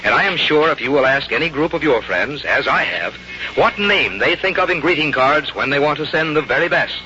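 A man announcing and reading scripted advertising in 1950s radio style, polished and deliberate. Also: fairly high pitch, expressive, sharp tone. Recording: muffled old radio broadcast, faint noise.

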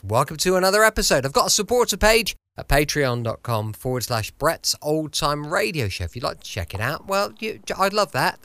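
A man's voice: fairly high pitch, bright, forceful tone, no echo, studio quality. A man with a British accent chatting informally as he introduces his podcast. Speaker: podcast host.

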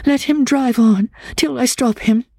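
A woman's voice: on the high side, a little slow, gravelly, a little mellow, no room sound, pitched above the performer's own voice. A woman voicing a frightened, vehement young woman in an audiobook, breathless and repetitive in her pleading. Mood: agitated, insistent.

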